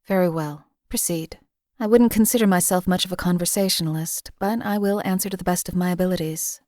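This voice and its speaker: A woman voicing a cold-blooded female assassin companion in a game, flat, clipped and detached. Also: lowish voice, brisk, no echo, studio quality, close to an everyday voice.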